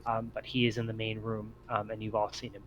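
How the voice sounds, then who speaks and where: A man narrating an improvised tabletop game as game master, feeling his way through descriptions in halting phrases. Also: on the low side, monotone, a little hiss or hum, low-quality recording.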